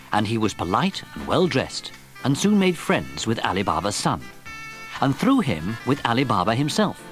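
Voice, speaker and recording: A man narrating a children's story from a script in an expressive storytelling manner. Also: mid-range voice. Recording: vintage cassette tape. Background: music.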